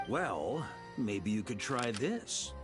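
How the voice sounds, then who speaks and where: A laid-back bold knight leader in a game, breezy, lively pitch swings, music under it. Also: male voice, takes it slowly. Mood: explanatory. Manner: casual, breezy, conversational. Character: easygoing, bold, impulsive male knight commander. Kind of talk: video game voice acting.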